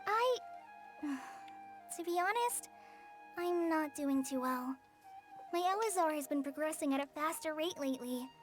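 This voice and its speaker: Casting: timid young forest ranger in a game, high girlish voice, earnest and gentle, light music behind. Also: lively intonation, a little slow, long pauses. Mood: downcast, hesitant. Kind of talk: English video game dub.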